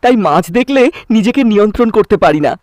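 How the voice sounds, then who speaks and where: A man's voice: sits high, animated, quick pace, darkish tone, no echo. A man voicing a sheepish, harmless fish-loving ghost in a comic cartoon. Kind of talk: animated comic story.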